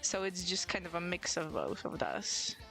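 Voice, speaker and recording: A woman's voice: fairly low pitch, wildly swooping pitch, normal speed, gravelly, fairly bright tone, faint music behind, rough recording. A young woman from Poland, Polish her first language, chatting casually on a livestream in English with a mixed Polish and British accent, laughing often and restarting words. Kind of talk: casual chat while drawing on stream.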